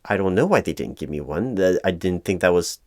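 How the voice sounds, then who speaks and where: A man's voice: low voice, expressive, fairly quick, a little mellow, no room sound. A man playing a flustered, apologetic corporate hiring manager in a one-sided roleplay, stammering and repeating his words as he goes. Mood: exasperated. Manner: frustrated, hesitant. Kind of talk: improvised audio roleplay, one side of a conversation.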